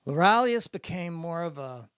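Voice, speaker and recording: A man's voice: fairly high pitch, animated, normal speed, a bit bright, clean tone, no echo, clean studio sound. A man voicing an eager, idealistic younger brother in a dramatic audiobook, explaining things in an earnest, casual way. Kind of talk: dramatic audiobook character dialogue.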